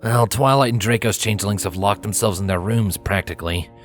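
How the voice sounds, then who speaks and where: A man's voice: fairly low pitch, a little fast, lightly acted. Casting: man playing young cartoon dragon, understanding sidekick, music underneath. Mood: sympathetic. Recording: music behind the voice.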